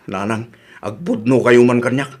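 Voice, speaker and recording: A man's voice: medium pitch, moderate pace, close to an everyday voice. A man playing an earnest, aggrieved young son and boyfriend in a radio drama, emotional and pleading, with an Ilocano accent. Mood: insistent.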